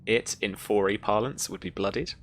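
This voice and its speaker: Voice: low-pitched, normal speed. A game master narrating a tabletop role-playing game in a conversational, descriptive way.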